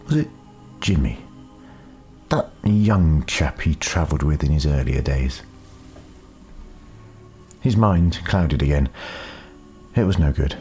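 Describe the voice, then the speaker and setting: A man reading scripted story narration in a measured, deliberate way, with dramatic pauses. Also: low voice, mellow. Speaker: audiobook narrator.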